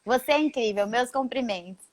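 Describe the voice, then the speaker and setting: High-voiced Brazilian woman hosting a live stream. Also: a little fast, no echo. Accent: Brazilian Portuguese. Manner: chatty, warm greetings to viewers, laughs often. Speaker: live show host. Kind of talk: casual interview chat on a live stream.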